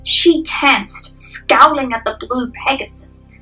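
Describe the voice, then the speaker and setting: A woman's voice: high voice, slow, sharp tone, distant mic, faint music behind, poor audio. A young adult woman reading scripted narration for a dramatic story.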